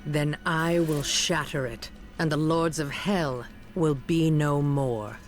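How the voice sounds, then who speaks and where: High-pitched, grave demon hunter. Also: male voice, slow, soft background music. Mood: fierce, defiant. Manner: solemn, emphatic. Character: grave, zealous parent hunting demons, fiercely dedicated. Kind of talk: video game acting.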